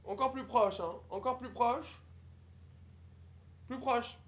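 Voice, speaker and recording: A man's voice: extremely high pitch, slow pace, fairly bright tone, voice pushed up, full character voice. A young man livestreaming, putting on a haughty, snobbish young posh man who mocks strangers in affected formal speech. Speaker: livestreamer on a video chat app. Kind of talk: improvised character roleplay with strangers.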